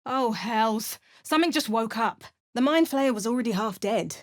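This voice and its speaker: A woman's voice: on the high side, expressive, normal speed, no room sound, studio quality. A woman voicing an adventurer's lines in a video game, with wry, understated asides and narration. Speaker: video game player character.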